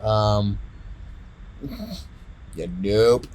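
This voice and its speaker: A man in casual podcast chat, retelling a story in a rambling, halting way and often repeating words. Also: on the low side, fairly even intonation, darkish tone, slight background noise. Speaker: podcast co-host.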